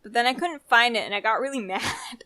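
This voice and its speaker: A woman's voice: fairly high pitch, brisk, pushed and bright. A young woman co-hosting a podcast, chatting casually and giving her opinions in an informal, rambling way. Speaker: podcast co-host. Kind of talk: casual chat.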